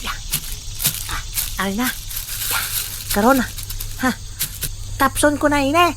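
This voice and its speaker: A woman's voice: high-pitched, a little slow, very noisy. A woman playing a sneaky, gleeful thief who chuckles and mutters greedily in a comedic audio drama. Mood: eager greedy.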